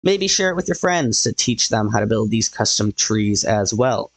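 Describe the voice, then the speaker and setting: A man hosting a casual game building tutorial, thinking aloud as he builds, slightly hoarse because he is losing his voice. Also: fairly low pitch, animated, normal speed. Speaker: tutorial host.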